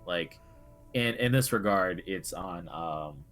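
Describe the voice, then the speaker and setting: A man with a deep, low-pitched voice talks as a co-host on a gaming show. His delivery is casual and halting, thinking aloud as he builds his point, over faint music. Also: animated, a bit bright. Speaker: co-host on a video game discussion show. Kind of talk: casual discussion.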